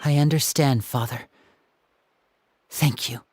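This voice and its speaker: A man's voice: mid-range voice, fairly even intonation, slow pace, darkish tone, a little hiss or hum, lightly acted. A man playing an earnest, loyal young man in a video game, sincere and resolute about his family. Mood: earnest resolve. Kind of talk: video game dramatic dialogue.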